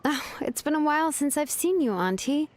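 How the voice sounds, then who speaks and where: Give me a casual, affectionate young woman with a bouncy, animated voice. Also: sits high, moderate pace, slight background noise. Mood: friendly. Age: young adult. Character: easygoing young woman craftsman, friendly. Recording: game audio with faint music behind. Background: soft game music.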